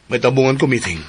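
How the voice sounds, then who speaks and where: A man playing a hurried, irritable businessman in a Filipino radio drama, curt and sighing, with a Visayan accent. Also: mid-range voice, very noisy, lightly acted.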